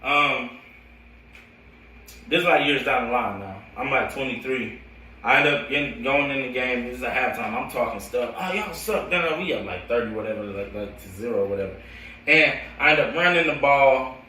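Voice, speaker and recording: A young American man telling his own story casually in slangy, animated talk, as a coach would to friends. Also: mid-range voice, moderate pace, a little hiss or hum. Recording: roomy echo, distant from the mic.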